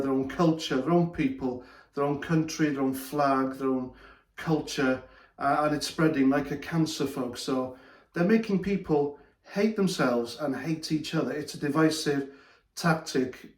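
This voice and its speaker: A man's voice: on the high side, darkish tone. A man with a Welsh accent, a native Welsh speaker, talking bluntly in a ranting opinion monologue. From Wales. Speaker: channel host. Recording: echoey room, distant mic.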